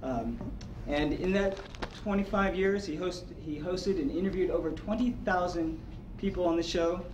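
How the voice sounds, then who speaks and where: Halting man, recorded far off in roomy noise. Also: high-pitched. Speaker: club member introducing a guest speaker. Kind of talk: spoken introduction at a club meeting. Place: indoor club meeting room. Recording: roomy, noisy meeting room, far mic.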